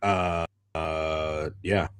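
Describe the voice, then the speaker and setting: A man co-hosting a casual unscripted podcast, talking in a halting, rambling way and often repeating his phrases. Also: a bass voice, fairly even intonation, clean tone. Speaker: podcast co-host.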